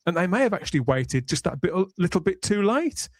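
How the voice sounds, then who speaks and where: A man's voice: high-pitched, sing-song, fairly quick, no echo. A middle-aged man hosting a podcast discussion in a reflective, explanatory way. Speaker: podcast host.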